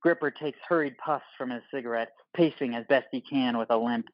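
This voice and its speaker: A man's voice: medium pitch, fairly quick, no echo, highs cut off. A man narrating an audio play, reading stage directions in terse screenplay phrases.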